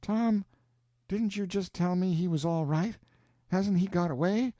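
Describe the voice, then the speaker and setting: A man voicing an excitable, boastful young country boy who rattles off his exploits eagerly. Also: high-pitched, a little slow, no room sound, lo-fi sound, pitched above the performer's own voice. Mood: urgent.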